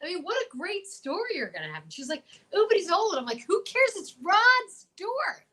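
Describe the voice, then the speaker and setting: A woman co-hosting a casual show, chatting and telling stories in an animated, gushing, joking way. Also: very high voice, a little fast. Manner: animated, gushing, jokey storytelling. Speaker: show co-host. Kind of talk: casual comic chat. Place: remote livestream from quarantine at home.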